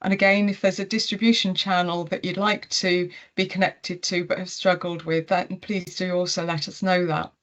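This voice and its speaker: A woman giving a webinar presentation on a video call, steady and pausing with frequent ums. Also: fairly low pitch. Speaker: tourism marketing presenter.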